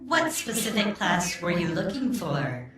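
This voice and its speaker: A man's voice: high-pitched, wildly swooping pitch, unhurried, over music, exaggerated voice. A man playing a patient, deferential shop clerk in a radio serial, polite and formal. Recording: roomy echo.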